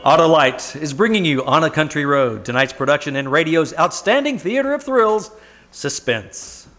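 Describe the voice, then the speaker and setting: Guy doing an old-time radio pitchman, high lively voice, emphatic and salesy, bit of music behind. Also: moderate pace. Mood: upbeat selling. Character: enthusiastic 1950s radio sponsor announcer. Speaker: announcer.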